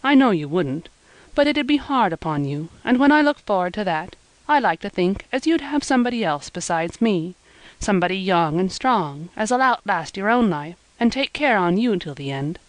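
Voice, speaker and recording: Woman reader doing old weaver: kindly, careworn, measured rural speech; dry, close. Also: mid-range voice, sing-song, no echo, close to an everyday voice, low-quality recording. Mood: gentle. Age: older.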